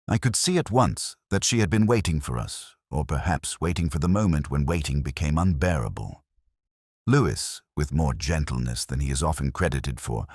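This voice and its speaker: A man narrating a scripted first-person detective story in a measured, brooding, literary manner. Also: rumbling low, darkish tone, husky, dry recording, studio quality.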